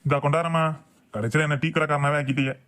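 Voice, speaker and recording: A man's voice: fairly high pitch, steady tone, fast talker, fairly bright tone, close to an everyday voice. A man voicing a boastful, grumbling husband and father in a comic cartoon. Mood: exasperated. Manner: blustering, complaining.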